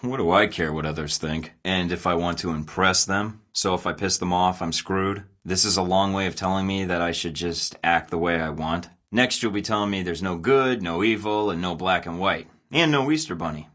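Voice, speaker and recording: A cocky young spy recruit, talks in a deep, low register with a forceful, bright edge. He is sarcastic, blunt and impatient, as in a studio-recorded video game. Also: normal speed, hardly any pauses. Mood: wry, impatient. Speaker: voice actor.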